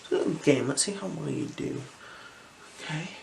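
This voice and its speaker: A gentle, unhurried ASMR performer speaking softly and slipping into whispers while role-playing an eye examiner. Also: mid-range voice, animated. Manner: gentle, unhurried, soothing instructions. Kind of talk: ASMR role-play, soft-spoken with whispers. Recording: clear background noise.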